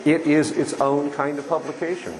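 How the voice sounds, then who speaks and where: A man's voice: a little high, level tone. Hesitant man, crowd chatter behind. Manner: hesitant, slow restarts. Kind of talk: interview soundbite.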